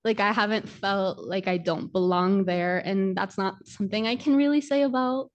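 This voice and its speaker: A woman's voice: medium pitch, moderate pace, dull audio. A woman on a panel talking casually and hesitantly, searching for words. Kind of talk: panel discussion.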